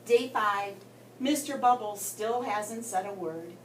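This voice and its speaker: A woman reading a story aloud to children in an expressive way, with dramatic pauses and emphasis. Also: medium pitch. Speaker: guest story reader for children. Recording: roomy, distant mic with background noise.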